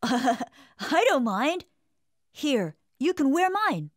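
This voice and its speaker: A woman voicing a curious, proud young boy prince in an animated storybook, speaking slowly and playfully in a high, boyish voice. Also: a little hiss or hum, full character voice. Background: music.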